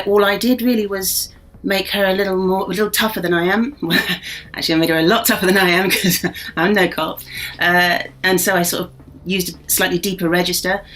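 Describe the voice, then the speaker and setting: A voice actress with a mixed accent, talking casually and reflectively in an interview. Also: female voice, medium pitch, a bit bright. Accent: mixed, no single regional accent.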